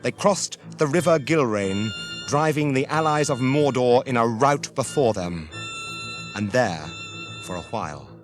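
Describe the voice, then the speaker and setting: A man narrating a 1980s radio drama as a scripted story, measured in delivery. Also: medium pitch, expressive. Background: dramatic music.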